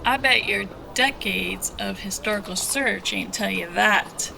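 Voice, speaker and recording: Brash, creaky-voiced smuggler woman acted by a woman. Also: medium pitch, a little slow, noisy background. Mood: taunting. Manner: folksy slangy, taunting, irreverent. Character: brash, sly smuggler woman, irreverent streetwise guide.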